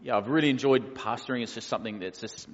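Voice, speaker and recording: A man's voice: mid-range voice, fast. A man in his forties with a New Zealand accent, a pastor talking casually to his church, easygoing and joking with plenty of fillers. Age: 40s. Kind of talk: casual church welcome talk. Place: church hall. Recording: echoey hall, dull lo-fi sound.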